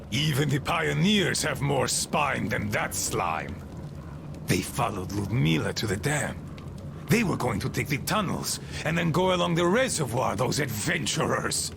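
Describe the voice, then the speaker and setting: An actor playing a bitter, commanding, eccentric old boatman in a video game, with a gravelly voice and a theatrical, grumbling way of rambling. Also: medium pitch, animated, slow, pauses often, noisy background, full character voice. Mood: grudging, sardonic. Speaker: game character, leader of a small river crew. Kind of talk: dramatic video game monologue.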